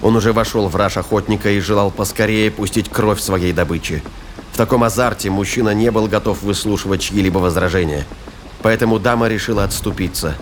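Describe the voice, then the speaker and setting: Male narrator, low pitch, dramatic scripted storytelling, sci-fi audio drama, slight background noise. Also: steady tone, brisk.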